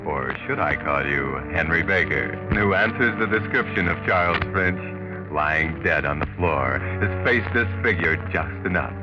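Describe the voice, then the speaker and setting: Casting: taunting voice of fate, very low, sardonic, 1940s mystery narrator, muffled broadcast sound. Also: male voice, lively intonation, music in the background. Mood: ominous, taunting. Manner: deliberate, taunting, ominous. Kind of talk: 1940s radio mystery narration, second person. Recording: muffled vintage radio recording.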